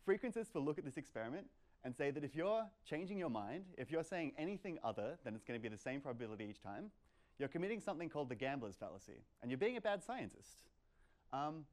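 A young man gives a casual talk at a meetup. His voice sits at an ordinary male pitch, and he sounds playful and chatty as he explains ideas to the room and puts questions to his listeners. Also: a little mellow. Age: young adult. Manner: playful, conversational, peppered with "like" and "kind of". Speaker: meetup presenter. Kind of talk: informal live lecture with audience questions. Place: medium-sized lecture room, indoors.

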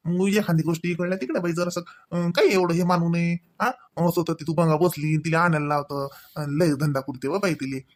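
Man playing a high-voiced, chiding village father-in-law, Varhadi accent. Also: fairly quick, few pauses, lightly acted. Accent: Varhadi Marathi. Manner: colloquial, admonishing, punctuated with questioning tags. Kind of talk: Marathi comedy cartoon dialogue.

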